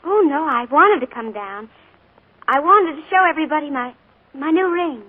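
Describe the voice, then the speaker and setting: A woman playing an earnest young woman in a 1940s radio drama, speaking quietly and tensely but firmly when she stands her ground. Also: high-pitched, expressive, moderate pace, very noisy. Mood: pointed. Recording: narrow 1940s radio broadcast.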